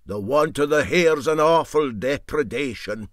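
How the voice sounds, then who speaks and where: A man voicing a sly, teasing old boat skipper with a Scottish Highland accent and a drawn-out, mock-sympathetic manner in a storybook reading. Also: animated, no echo, clean studio sound.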